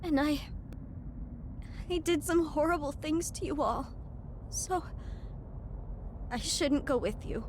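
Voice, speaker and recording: High-voiced remorseful young woman. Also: takes it slowly, long pauses, noisy background. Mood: guilty, hesitant. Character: hesitant, remorseful young woman in a video game. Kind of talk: dramatic game voice acting.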